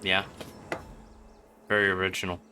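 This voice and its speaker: A man's voice: deep voice, completely flat delivery, moderate pace, pushed and bright, a little hiss or hum. A man casually commentating on a game as he streams, muttering profane, exasperated asides. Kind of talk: casual live gameplay commentary.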